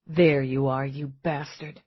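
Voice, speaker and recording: A woman's voice: expressive, takes it slowly, husky, dull audio, heavily acted. A woman doing a grim hard-edged cop. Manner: grim, low and clipped.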